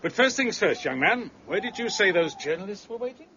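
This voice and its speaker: An actor playing a vain, blustering prefect of police in a radio drama, pompous and irascible in manner. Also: male voice, sits high, expressive, a little fast, sharp tone. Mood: self-satisfied. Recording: dull lo-fi audio with clear background noise.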